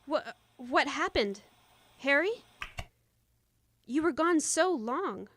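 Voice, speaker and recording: Woman playing high-voiced anxious young wife. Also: lively intonation, slow, fairly bright tone, slight background noise, full character voice. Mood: worried. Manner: hesitant, soothing. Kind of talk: audio drama acting.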